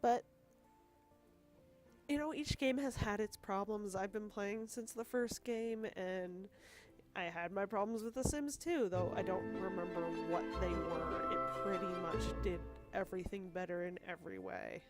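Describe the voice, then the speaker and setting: A woman's voice: mid-range voice, unhurried, over music. A woman with a cold, a bit stuffed up and wheezy, chatting casually as she plays a game and apologizes often. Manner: chatty, self-deprecating, apologetic asides. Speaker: gaming video host. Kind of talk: casual rambling gameplay commentary.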